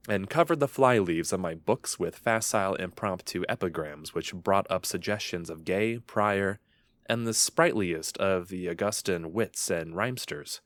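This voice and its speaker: Man playing a deep-voiced, brooding gothic young narrator. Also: no room sound. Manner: solemn, formal, archaic and literary diction. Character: brooding, obsessed young man confessing his morbid secrets. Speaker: narrator and lead character. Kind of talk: dramatic first-person gothic horror narration.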